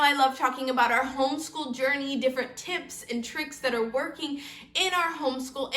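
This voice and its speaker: Woman, 30s, homeschool vlog host, high voice, earnest explainer, echoey room. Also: fairly bright tone. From Kansas, United States. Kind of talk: casual vlog talk to camera.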